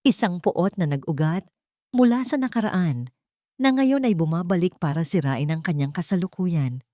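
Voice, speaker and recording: A woman's voice: sits low, warm tone, no echo, clean studio sound. A woman reading a dramatic scripted story as an audiobook narrator.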